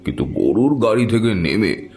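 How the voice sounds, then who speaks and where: A man's voice: on the low side, big pitch swings, moderate pace, creaky, darkish tone. A man narrating a first-person horror story in a dramatic, scripted audio drama style.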